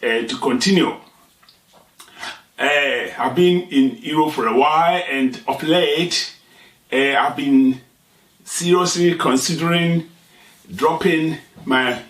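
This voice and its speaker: A man from Ghana whose first language is Twi, speaking English with a Ghanaian accent as the host of a satirical talk show, unhurried and explanatory with pauses and restarts. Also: medium pitch, a bit bright, echoey room, far from the mic, rough recording.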